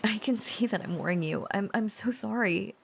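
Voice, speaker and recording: A woman playing a tender, protective young dragon-descended girlfriend in an intimate romantic audio roleplay, speaking gently and hesitantly in a breathy, often whispered voice. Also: mid-range voice, moderate pace, slight background noise, lightly acted. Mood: nervous, hesitant. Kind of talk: intimate roleplay audio drama, softly acted.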